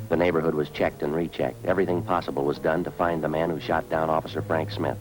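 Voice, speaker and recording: An actor with an American accent playing a stoic, dogged police detective, terse and deadpan, in the style of fifties radio drama. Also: male voice, deep voice, natural voice, rough recording. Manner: terse, deadpan, matter-of-fact. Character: stoic, dogged male police detective, quietly worried for partner. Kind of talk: 1950s radio police drama, clipped dialogue and scripted narration.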